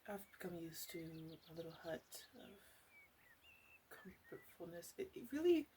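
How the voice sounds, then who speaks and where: Woman playing a slow, softly teasing witch. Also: on the low side, sing-song, a little hiss or hum, voice pushed down. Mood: musing. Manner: hesitant, halting, softly teasing, often dropping into whispers. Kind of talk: ASMR roleplay.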